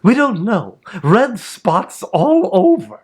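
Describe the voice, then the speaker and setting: Man as a cheeky, nonchalant schoolgirl, pitched up. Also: wildly swooping pitch, takes it slowly, a little mellow, husky, full character voice. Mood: cheeky nonchalance. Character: sly, brazen schoolgirl, evasive and cheeky.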